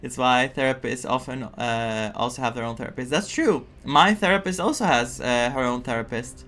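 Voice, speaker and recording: A man's voice: mid-range voice, moderate pace, a bit bright. A man giving casual gameplay commentary as a streamer, thinking aloud hesitantly and emotionally invested. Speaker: streamer reacting to a game. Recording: faint game music behind the voice.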